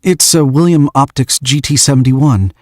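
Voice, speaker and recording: A man's voice: medium pitch, normal speed, darkish tone, no echo, studio quality, pitched above the performer's own voice, natural voice. A man voicing a nervous, shy young man who stammers and restarts his sentences.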